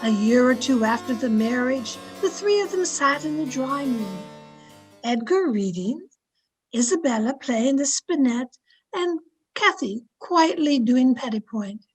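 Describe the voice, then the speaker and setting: A woman playing a devoted servant who tells the story in a radio drama, measured and dutiful. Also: sits high, lively intonation, slightly rough, soft background music, heavily acted, rough recording. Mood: reflective. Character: Ellen, devoted old female servant telling a story.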